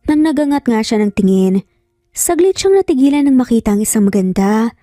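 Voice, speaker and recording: A woman's voice: on the high side, lively intonation, a little fast, warm tone, no echo, studio quality. A Filipino woman reading a scripted romance story as a narrator. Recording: background music under voice. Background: music.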